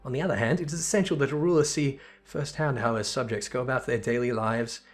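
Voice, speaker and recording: Male player as a regal young game sultana: earnest, gracious, courtly and measured, over music. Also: medium pitch, close to an everyday voice. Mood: gracious, remorseful. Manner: formal, measured, courtly phrasing. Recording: music behind the voice.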